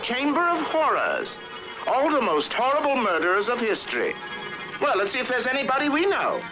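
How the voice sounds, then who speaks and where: A man's voice: extremely high pitch, big pitch swings, normal speed. A man playing a wry, cheeky young pop musician in an old cartoon, with exaggerated delivery. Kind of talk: 1960s cartoon voice acting. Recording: echoey reverberant cartoon soundtrack, faint music.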